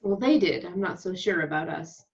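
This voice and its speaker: A woman's voice: lowish voice, moderate pace, natural voice. A woman playing a wry actress from a sci-fi series in an amateur table read, on a slightly dull lo-fi recording. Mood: wry, guarded.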